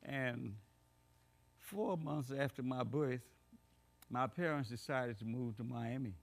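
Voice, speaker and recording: Slow, gravelly elderly man reminiscing. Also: medium pitch. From Bahamas. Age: 80s. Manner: slow, reflective storytelling. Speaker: interviewee. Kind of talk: oral history interview.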